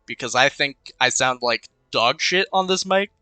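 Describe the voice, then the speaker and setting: A man's voice: medium pitch, animated, moderate pace, sharp tone, smooth, dry recording. A young man casually talking through his game, with a slightly congested voice and a habit of rambling and muttering as he weighs his choices. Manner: rambling, muttering to himself while thinking. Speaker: gaming commentator. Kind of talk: casual let's-play commentary. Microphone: cheap desk microphone.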